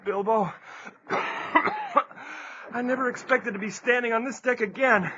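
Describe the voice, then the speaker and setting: A man's voice: extremely high pitch, lively intonation, slow pace, exaggerated voice. An actor playing an eager, polite, plucky young cabin boy, earnest and well-mannered, in an audio drama. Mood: shaken. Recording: dull lo-fi audio, faint noise.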